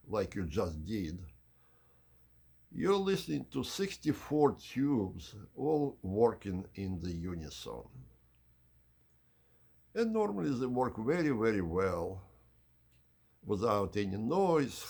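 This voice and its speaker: A man hosting an unhurried explanatory video tour in measured, slightly formal phrasing, with a husky, gravelly voice. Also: fairly low pitch, a little mellow. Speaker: channel host giving an audio system tour.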